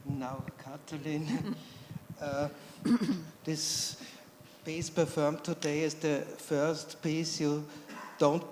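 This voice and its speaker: Male panel moderator, slightly high voice, echoey hall, faint background hum. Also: very slow. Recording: roomy hall, faint ambience.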